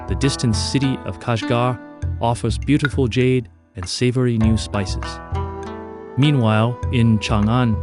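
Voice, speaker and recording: Stately male game briefing narrator. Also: mid-range voice. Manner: formal, stately. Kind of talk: scripted mission briefing narration. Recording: music playing behind.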